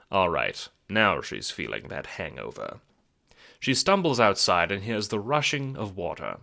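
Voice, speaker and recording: Dramatic, lively low-voiced male storyteller. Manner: dramatic, wry, rhetorical. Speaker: narrator and producer of an audio drama. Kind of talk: theatrical scripted storytelling narration. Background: music.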